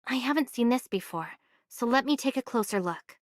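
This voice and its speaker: A woman's voice: a little high, animated, a little fast, dry recording, clean studio sound, close to an everyday voice. A woman playing a curious, polite young heroine in a sci-fi anime game, speaking in an earnest, inquisitive way. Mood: focused, earnest. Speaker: game character voice.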